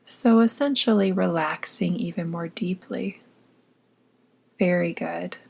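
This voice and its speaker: A woman narrating a guided meditation in a soft, breathy voice, with a soothing, gently instructing manner. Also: fairly low pitch, slow pace. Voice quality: soft, breathy, often near whisper.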